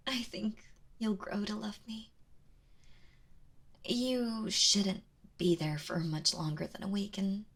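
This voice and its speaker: A woman acting as a soft-spoken yandere woman with a breathy, slightly creaky voice. She is tender and anxiously possessive, pleading haltingly and slipping toward a whisper, as in an intimate ASMR roleplay. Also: medium pitch, heavily acted. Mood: soft, regretful. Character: kind, obsessive yandere woman, lovesick and anxiously possessive captor.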